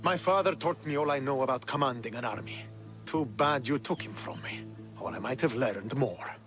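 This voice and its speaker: A man's voice: medium pitch, lively intonation, moderate pace, fairly bright tone, faint music behind, close to an everyday voice. A man playing a bitter, hot-tempered young Spartan army commander in a video game, with an intense, accusatory, scornful delivery. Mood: scornful anger. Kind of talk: dramatic video game acting.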